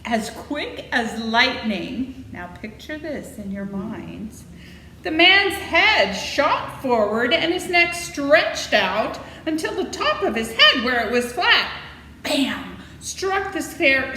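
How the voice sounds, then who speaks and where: A woman's voice: high voice, takes it slowly. Woman doing storytime for kids, really swoopy playful delivery, echoey room. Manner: expressive, playful, dramatic emphasis. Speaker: library storytime reader. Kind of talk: animated children's story reading with asides to listeners. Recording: echoey room with faint noise.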